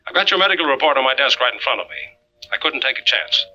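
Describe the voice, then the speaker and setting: A man's voice: medium pitch, animated, quick pace, sharp tone, dull audio, soft background music. A man acting a firm, authoritative American navy captain in an old-time radio drama. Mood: firm, regretful. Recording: faintly noisy old radio recording.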